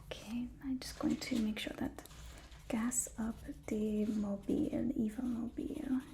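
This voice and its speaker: A woman's voice: fairly high pitch, flat delivery, unhurried, vocal fry, mellow, slight background noise. A woman doing a whispered ASMR role-play as a supervillain's timid, apologetic assistant, speaking in a hesitant, placating way. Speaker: ASMR performer playing a villain's personal assistant.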